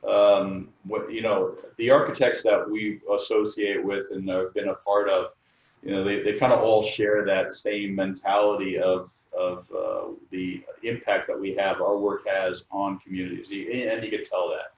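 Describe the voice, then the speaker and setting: A middle-aged man from the New York area, an architect answering interview questions in a halting way, full of fillers and restarts. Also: low-pitched, flat delivery. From New York area, United States. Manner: hesitant, many fillers and restarts. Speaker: architect, firm co-founder. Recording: distant mic, dull roomy sound.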